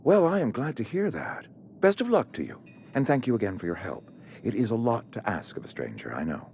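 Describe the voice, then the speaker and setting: A pompous administrator, swooping pitch. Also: male voice, sing-song, fairly quick, gravelly, a little mellow, a little hiss or hum. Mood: courteous. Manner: formal, wordy, officious. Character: pompous, courteous male company outpost administrator. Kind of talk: video game character acting.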